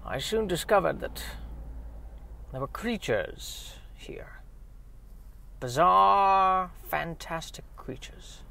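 A man playing a halting, dramatic old mill owner. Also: high voice, animated, creaky, sharp tone, whispered, pitched above the performer's own voice, heavily acted. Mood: mysterious, grandiose. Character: eccentric old male mill owner, cryptic and defensive. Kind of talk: spoof 1950s sci-fi radio play.

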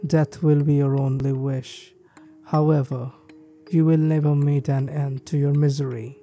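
A man reading a creepypasta list of ski resort rules in a steady, measured scripted narration. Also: fairly high pitch. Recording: faint background music.

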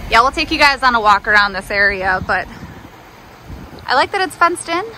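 A young woman talks in a bright, chatty vlog manner, walking viewers through her stop. Her voice sits a little high. Steady outdoor and engine noise runs under the camera mic. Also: normal speed, poor audio. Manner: upbeat, chatty, explaining. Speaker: RV travel vlogger. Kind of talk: casual vlog chat. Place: outdoors at an RV park, then inside a pickup truck.

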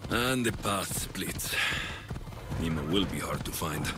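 A man's voice: sits low, lively intonation, slow pace, background noise. An actor voicing a brash young male warrior in a video game, blunt and cocky. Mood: watchful, terse.

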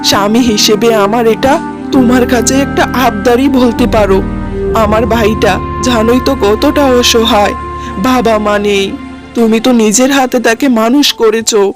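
A woman's voice: a little high, steady tone, moderate pace, over music, natural voice. A woman voicing a poor, earnest young married man in a cartoon, pleading and reproachful in manner. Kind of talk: cartoon drama acting.

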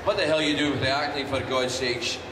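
A man putting on his gruff older Scottish father, blunt and exclaiming, in a big echoing convention hall. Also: medium pitch, steady tone, fairly quick, noisy background, natural voice. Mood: exasperated.

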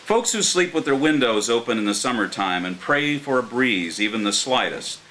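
An older American man reading his poetry live at a microphone, in a measured, earnest way. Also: medium pitch, very animated, pushed and bright, poor audio. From Martins Ferry, Ohio, United States. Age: older, around 60. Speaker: poet reading his own work. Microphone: microphone on a stand. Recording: clear background hiss.

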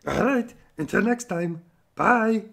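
A furry cartoon monster for a children's show. The voice is raised, creaky and husky, with swooping, chirpy delivery and friendly, playful exclamations. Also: male voice, high-pitched, lively intonation, takes it slowly, loud music behind the voice, voice pushed up, full character voice.

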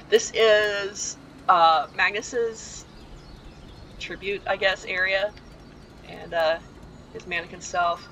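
A woman narrates a game walkthrough in a loose, chatty way, wandering off on asides and filling pauses with ums. Her voice is bright and forward, with a faint hiss of noise under it. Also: lowish voice, poor audio. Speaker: video game tour guide. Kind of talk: casual gameplay voice-over tour.

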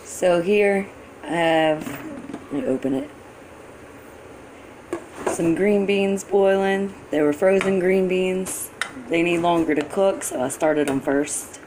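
A woman's voice: on the low side, takes it slowly, long pauses, poor audio. A young woman, chatting casually as she walks through a cooking video in her home kitchen, recorded by a camera a few feet away. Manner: chatty, friendly, instructive with small restarts. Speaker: cooking vlogger. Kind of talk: casual cook-along talk to camera. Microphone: camera on counter a few feet away.

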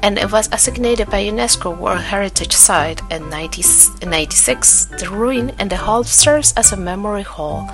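A woman narrating her own travel vlog in a voice-over, plain and unhurried, with small slips of the tongue. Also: mid-range voice, expressive, music in the background. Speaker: travel vlogger.

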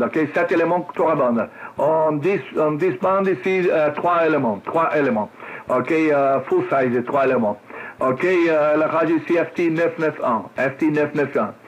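A Brazilian man, a native Portuguese speaker, chatting as a radio operator in a halting, repetitive way. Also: fairly high pitch, highs cut off. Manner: halting, repetitive foreign-language speech. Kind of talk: casual radio contact chat with callsign spelling. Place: cluttered home radio desk indoors.

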